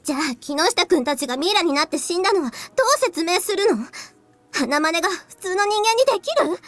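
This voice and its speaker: An anxious, guilt-ridden schoolgirl from a visual novel. Her voice is extremely high and she talks quickly, in an earnest, hesitant way. Faint music plays behind her. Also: expressive, fairly bright tone, no echo. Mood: distressed, fearful. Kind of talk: Japanese visual novel voice acting.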